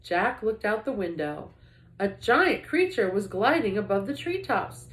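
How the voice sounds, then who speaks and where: A woman reading a children's book aloud like a teacher, with expressive, dramatic emphasis. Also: mid-range voice, a little slow. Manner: animated, dramatic emphasis for young listeners. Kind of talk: expressive storybook read-aloud. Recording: echoey room.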